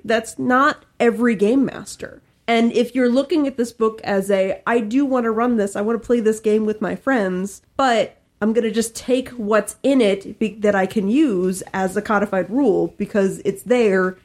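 A woman's voice: medium pitch, darkish tone. A woman co-hosting a podcast in casual, conversational discussion. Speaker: podcast co-host.

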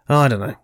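A man co-hosting a podcast, chatting casually about a film and teasing his friend with jokes and swearing. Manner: chatty, jokey, swears freely and teases his co-host. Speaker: podcast co-host reviewing films. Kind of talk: casual chat.

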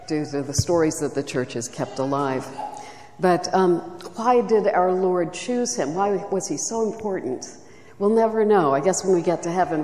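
Soft-spoken woman in an echoey hall. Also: lowish voice. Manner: soft-spoken, reflective, with frequent fillers. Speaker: retreat speaker. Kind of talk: teaching talk at a church retreat. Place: church hall.

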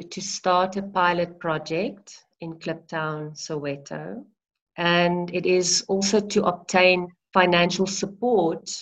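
South african woman, afrikaans first language, slow earnest talker who keeps restarting words, kinda dull audio. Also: fairly low pitch. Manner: earnest, often restarts words, warm enthusiasm. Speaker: interviewee, early childhood movement practitioner. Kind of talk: heartfelt conversational interview.

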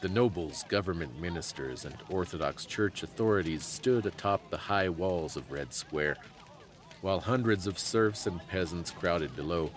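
A man giving a dramatic, scripted story reading in a measured, solemn and theatrical way. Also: sits low.

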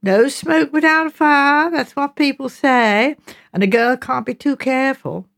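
A woman's voice: sits high, unhurried, gravelly, a bit bright, pitched above the performer's own voice, exaggerated voice. A woman voicing a garrulous working-class village mother in an audiobook, indignant and rambling, deferential yet firm, with ungrammatical rustic turns of phrase. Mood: indignant, insistent. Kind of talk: audiobook character dialogue.

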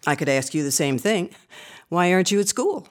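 A woman's voice: lowish voice, big pitch swings, fairly quick. A woman playing a concerned, prying aunt in a radio drama, formal, genteel and insistent. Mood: pointed surprise.

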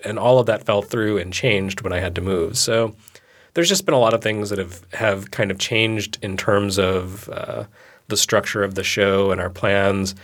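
A man with a somewhat low, sleepy-sounding voice talks casually as a podcast co-host. He rambles in a relaxed way and makes light fun of himself. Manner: relaxed, rambling, self-deprecating. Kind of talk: casual chat.

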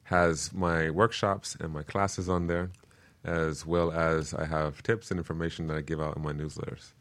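A man's voice: a bass voice, little pitch movement, normal speed. A man speaking as a guest teacher on a radio interview, earnest and informative as he explains.

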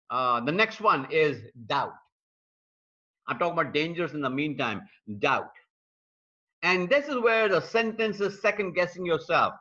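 A man's voice: high-pitched, bright, forceful tone, lo-fi sound. A man preaching an online sermon, building points through repetition and speaking straight to viewers joining from around the world. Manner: repetitive, emphatic preaching cadence; addresses viewers directly. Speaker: pastor. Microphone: streaming call mic.

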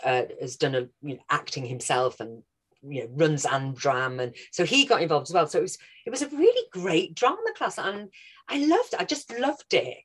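A woman's voice: sits low, big pitch swings, normal speed, husky. Chatty Scouse-tinged North Welsh woman. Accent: North Wales, Scouse-tinged. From North Wales. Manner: chatty, laughs often, enthusiastic. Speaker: guest actress. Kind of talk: casual interview chat.

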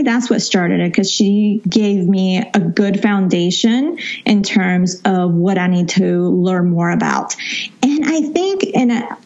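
A woman's voice: mid-range voice, darkish tone. Slightly muffled woman, conversational podcast guest. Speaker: podcast guest, financial counselor and money coach. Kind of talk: casual interview chat.

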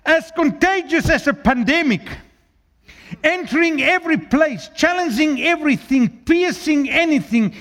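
A male preacher with an Afrikaans accent and a fairly high, animated voice that jumps all over its range. He moves from ringing, declaimed lines down to hushed, low asides. His first language is Afrikaans. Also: normal speed. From South Africa. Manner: swings from loud declaiming to quiet, low asides. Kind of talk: sermon.

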